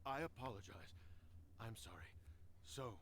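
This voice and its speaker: A man's voice: medium pitch, expressive, unhurried, fairly bright tone, slight background noise. A man playing a humble male noble retainer in a game, speaking deferentially and apologetically. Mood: submissive.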